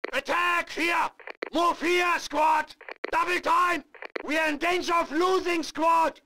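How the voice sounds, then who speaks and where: An actor playing an urgent army squad leader, barking commanding callouts for a war game. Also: male voice, extremely high pitch, vocal fry, bright, forceful tone, a little hiss or hum, full character voice, low-quality recording. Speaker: game announcer.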